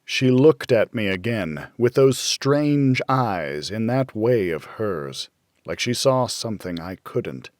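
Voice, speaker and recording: A man narrates a hardboiled thriller in the first person. His delivery is brooding and measured, with dramatic pauses and lively swings in pitch. The sound is close and dry. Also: dry recording. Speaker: audiobook narrator.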